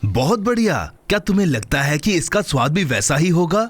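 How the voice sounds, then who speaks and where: A man voicing a friendly, helpful companion to a boy in a children's cartoon dub, with expressive delivery. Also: on the high side, brisk, soft background music. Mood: curious, impressed.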